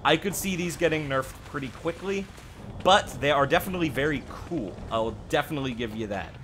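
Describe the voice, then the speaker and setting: A man's voice: a little high, lively intonation, brisk, a bit bright, slight background noise. A man hosting a gaming channel, giving casual update commentary in an opinionated, emphatic, conversational way.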